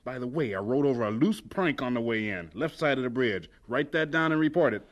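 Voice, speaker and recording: An actor playing an impatient, tireless school principal, terse and commanding, in a radio drama. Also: male voice, mid-range voice, expressive, fairly quick, highs cut off, close to an everyday voice. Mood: brisk.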